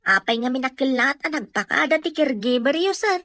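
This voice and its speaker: A woman's voice: high voice, expressive, brisk, pushed and bright, no room sound. A woman with an Ilocano accent playing a deferential, helpful household helper in a Filipino radio drama. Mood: deferential, candid.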